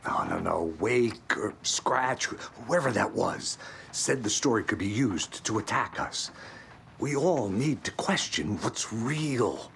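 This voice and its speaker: A man's voice: mid-range voice, lively intonation, takes it slowly, creaky, fairly bright tone, exaggerated voice. An actor playing a weary, hard-boiled male agent in a video game, grave and wary in delivery. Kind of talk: video game cutscene acting. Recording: light ambient background noise.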